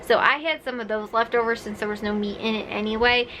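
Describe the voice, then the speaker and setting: Brief: woman, 20s, daily vlogger, chatty and meandering, lots of fillers, bright tone, bedroom setting. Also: a little high, steady tone, quick pace, a little hiss or hum. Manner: rambling, chatty, many fillers like "like" and "um". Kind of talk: casual chat to camera. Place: bedroom, indoors.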